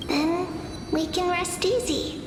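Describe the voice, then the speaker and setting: A woman voicing a gentle, wistful, childlike girl oracle with soft, tender, drawn-out delivery in a dubbed fantasy game. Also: very high voice, noisy background. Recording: long reverb with loud music.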